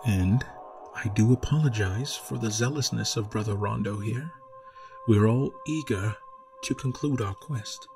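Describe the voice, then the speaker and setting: A man doing a smooth, low-voiced sinister high priest. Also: a little slow, music in the background. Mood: coaxing. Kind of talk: storybook narration.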